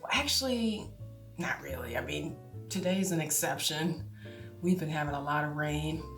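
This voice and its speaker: Chatty woman nearing fifty, lower voice. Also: fairly bright tone. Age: 40s. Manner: chatty, laughs often, drawn-out exclamations. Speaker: vlogger. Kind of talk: casual talk to camera. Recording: echoey room with music behind.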